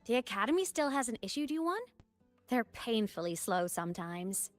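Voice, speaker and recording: An actress playing a playful, breezy young college student in a video game, teasing and animated. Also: female voice, a little high, moderate pace. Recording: music under the voice.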